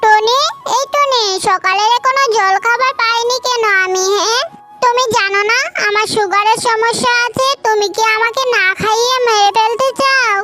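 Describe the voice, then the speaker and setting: A woman plays a cartoon tailorbird mother-in-law with an extremely high, childlike voice. She is touchy and emotional, always nagging her family. Children's music plays under her words. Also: fairly even intonation, moderate pace, bright, forceful tone. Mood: angry, scolding. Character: female tailorbird stepmother, doting but touchy, turning scolding mother-in-law.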